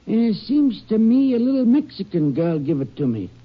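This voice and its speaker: A man's voice: high voice, very animated, moderate pace. An actor playing a nervous, eager-to-please male small-town store owner who hesitates a lot, in a 1950s radio drama. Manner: hesitant, with many uhs. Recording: dull old radio recording.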